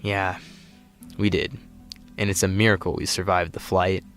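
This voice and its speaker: Man acting a low-voiced, weary stranded spaceman. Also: flat delivery, normal speed, close to an everyday voice. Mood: resigned. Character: weary, despairing male stranded space flight attendant. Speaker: voice actor. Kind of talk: audio drama acting. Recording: music behind the voice.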